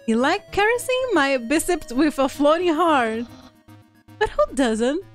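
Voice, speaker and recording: Girl doing a vain melodramatic skeleton guy from a game, slow pompous lines, music behind. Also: high-pitched, big pitch swings. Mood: boastful. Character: vain, earnest, theatrical male skeleton, bombastically self-confident. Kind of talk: dubbing video game dialogue on a livestream.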